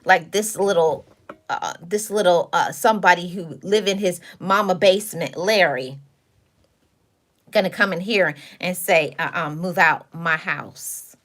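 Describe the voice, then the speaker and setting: A woman's voice: lowish voice, measured pace, fairly bright tone. A woman hosting a livestream, talking casually and sassily to her chat, giving motivational advice in a preachy, straight-talking way. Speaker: livestream host.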